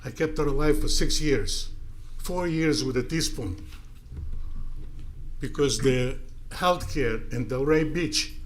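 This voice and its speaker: Older man, from Ukraine, mid pitch, blunt emphatic short sentences, faint meeting-room noise. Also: slow. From Ukraine, born in Siberia. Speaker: resident speaking in public comment. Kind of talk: unscripted personal public comment. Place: indoor city commission meeting room. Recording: noisy meeting-room microphone recording.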